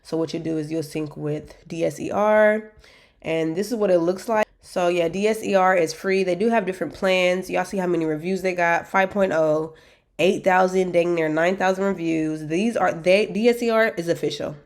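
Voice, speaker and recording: A woman's voice: on the low side, moderate pace. Stuffy-nosed woman chatting casually. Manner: chatty, loose, many fillers and restarts. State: stuffy nose from allergies. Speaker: dropshipping store maker reviewing vendors. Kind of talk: casual screen-share walkthrough.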